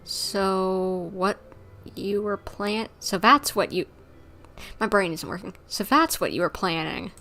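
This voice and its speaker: A woman livestreaming a game, chatting playfully and reading dialogue aloud with some halting. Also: mid-range voice, sharp tone. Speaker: game streamer. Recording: keyboard typing, game sound behind. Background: typing and game ambience.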